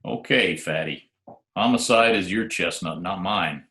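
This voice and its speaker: An actor playing a wisecracking, sardonic private detective who also narrates, in a 1940s-style radio drama. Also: male voice, deep voice, measured pace, pushed and bright, exaggerated voice. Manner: dry, sardonic. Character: wisecracking hard-boiled private detective, narrating his case. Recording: roomy remote home recording, faint music.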